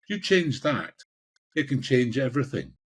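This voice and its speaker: Husky older Scottish man, passionate. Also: medium pitch, sing-song, moderate pace. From Scotland. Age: 60s. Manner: passionate, emphatic, repeats phrases for emphasis. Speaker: executive coach, podcast guest. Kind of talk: conversational interview answers.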